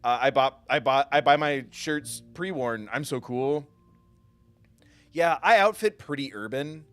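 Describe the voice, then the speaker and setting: A man's voice: medium pitch, expressive, a little slow, a bit bright, clean tone. A man doing casual, jokey and self-deprecating live commentary while playing a game. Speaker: livestreamer playing and reading aloud a visual novel.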